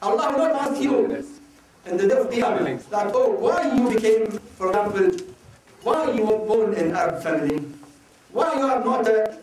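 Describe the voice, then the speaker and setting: A man from Iraq answering audience questions at a religious talk, speaking slowly and deliberately and often asking rhetorical questions. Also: sits high, lively intonation, a crowd in the background. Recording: echoey hall, mic far off.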